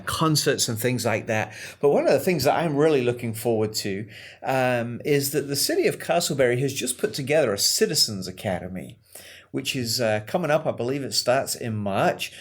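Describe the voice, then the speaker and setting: A man's voice: medium pitch, lively intonation, normal speed. A man co-hosting a local community podcast, talking in an earnest, friendly way with frequent fillers. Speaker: podcast co-host.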